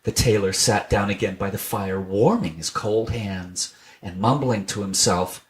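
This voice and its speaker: A man's voice: lowish voice, measured pace. A man reading a children's tale aloud as scripted storybook narration. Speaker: storybook reader.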